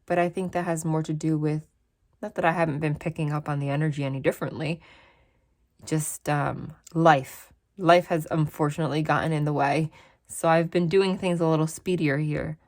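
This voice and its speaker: Low-voiced woman with natural vocal fry. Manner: chatty and rambling, frank asides. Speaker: tarot reader. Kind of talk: casual tarot reading talk to viewers. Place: indoors at a desk in her parents' house.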